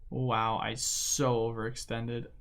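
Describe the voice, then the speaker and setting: A man's voice: medium pitch, monotone, slow, gravelly, fairly bright tone. A young man hosting a livestream, chatting casually and rambling with lots of fillers and slang while he plays a game. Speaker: livestream host. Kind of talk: casual chat while gaming.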